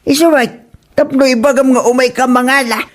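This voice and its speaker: A man plays an older Ilocano father in a comedy drama. His voice sits high for a man, and he nags and scolds in exasperation. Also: steady tone, brisk. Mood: questioning.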